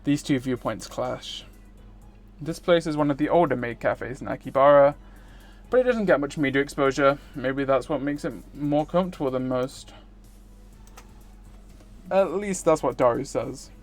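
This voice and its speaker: Livestreamer, visual novel read-aloud, casual asides, mid-pitched voice, music underneath. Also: normal speed, long pauses.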